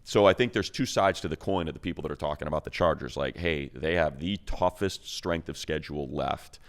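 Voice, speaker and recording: A man's voice: extremely low pitch, fairly quick. A man giving casual, conversational football analysis on a sports talk show, explaining things in slangy language.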